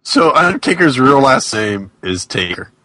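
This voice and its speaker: A man chats casually as a podcast co-host. His voice is bright and forceful, in loose back-and-forth banter. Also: mid-range voice, very animated, normal speed, no echo.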